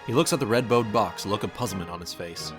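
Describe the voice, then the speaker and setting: A man's voice: lowish voice, quick pace, over music. A man reading scripted second-person fiction as the narrator of a story.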